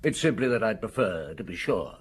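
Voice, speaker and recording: An actor playing a senior police detective in a British radio drama, with a wry, measured, authoritative manner. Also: male voice, fairly low pitch, sing-song. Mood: measured, challenging. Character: dry, unhurried senior male police detective. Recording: noisy radio drama recording.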